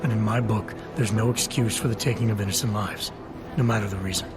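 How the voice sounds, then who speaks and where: Gruff cyborg agent guy man acting from a video game, low gravelly voice, deadpan, loud music behind. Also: fast, lightly acted. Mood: firm, serious. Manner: terse, deadpan. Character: gruff, guarded male augmented counter-terrorism agent.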